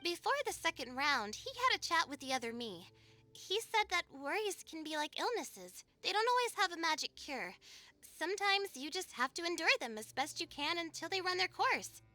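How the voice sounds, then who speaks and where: Very high, bright young female scholar. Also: big pitch swings, moderate pace, full character voice. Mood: pensive. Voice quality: bright, youthful. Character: young woman scholar, second personality, composed, thoughtful, self-assured. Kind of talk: anime-style video game voice acting.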